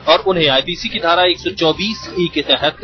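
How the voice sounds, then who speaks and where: Dramatic male news reporter over loud music. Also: on the high side, moderate pace. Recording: dull broadcast audio with music.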